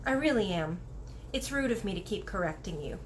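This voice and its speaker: A woman's voice: mid-range voice, lively intonation, brisk, a little hiss or hum, close to an everyday voice. A woman voicing a polite, curious little girl in a storybook, earnest and questioning. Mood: apologetic. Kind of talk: storybook read-aloud character voice.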